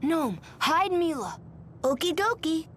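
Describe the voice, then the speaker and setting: A woman's voice: extremely high pitch, very animated, slow pace, slight background noise, heavily acted. A woman voicing a childlike, simple and cheerful spirit in a video game, with babyish, casual phrasing. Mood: apologetic, playful.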